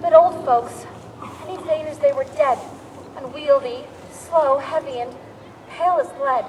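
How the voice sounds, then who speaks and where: A woman's voice: high-pitched, measured pace, a little mellow. A woman playing a young, impatient, lovestruck girl, declaiming Shakespearean verse with eager theatrical pleading. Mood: restless, impatient. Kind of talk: Shakespearean stage acting, verse. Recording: distant echoey hall, background noise.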